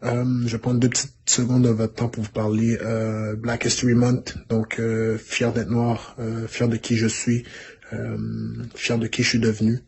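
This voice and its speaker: Young man, very flat delivery, faint noise behind. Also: medium pitch, measured pace. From Rwanda, raised in Canada. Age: young adult. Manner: relaxed, many hesitations. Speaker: former student, pro football player. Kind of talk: casual testimonial to students.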